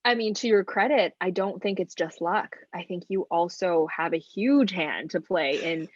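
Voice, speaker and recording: A woman's voice: fairly low pitch, normal speed, slightly rough, no echo, highs cut off. A woman from China, a nutritionist guest on a podcast, explaining things thoughtfully in casual conversation.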